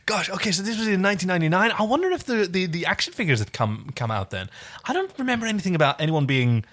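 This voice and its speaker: A playful, expressive man co-hosting a podcast, reading fan fiction aloud and chatting casually. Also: sits high, fast. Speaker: podcast co-host and reader.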